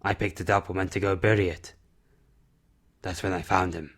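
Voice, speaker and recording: A man playing a gruff, solemn older recluse with a terse, grave manner in an amateur audio drama. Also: sits low, level tone, moderate pace, a bit bright.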